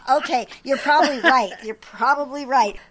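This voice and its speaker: Chatty American woman, slightly high voice. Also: moderate pace, fairly bright tone. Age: 50s. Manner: talkative, enthusiastic. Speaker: podcast host, mobile pet groomer. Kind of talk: chatty podcast hosting.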